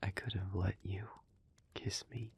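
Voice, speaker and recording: A man playing an earnest, guilt-ridden demon in love, speaking in a hushed, breathy, halting voice in an intimate audio roleplay. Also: deep voice, flat delivery, slight background noise. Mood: pleading, earnest. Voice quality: breathy, husky, whispery. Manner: slow, halting, hushed delivery with pauses.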